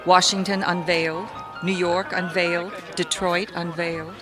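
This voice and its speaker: An actress playing a brisk, polished publishing publicist in a radio mystery, businesslike and diplomatic. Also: female voice, on the low side, level tone, music in the background, lightly acted. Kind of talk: old-time radio mystery acting.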